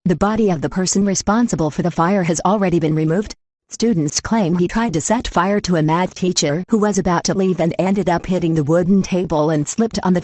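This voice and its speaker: A woman's voice: on the low side, a little mellow, dry recording, close to an everyday voice. A woman playing a television news reporter, delivering a scripted report in a matter-of-fact, informative way. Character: matter-of-fact female TV field reporter on eerie incidents.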